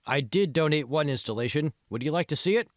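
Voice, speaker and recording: Smug rich tech guy done by a male narrator, sure of himself, explains stuff, bit lifted pitch, dry close sound. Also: expressive, fast, no room sound, lightly acted. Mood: smooth, self-assured. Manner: assured, explanatory, pressing. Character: self-assured male tech billionaire, smug patron of art. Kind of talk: audiobook dialogue.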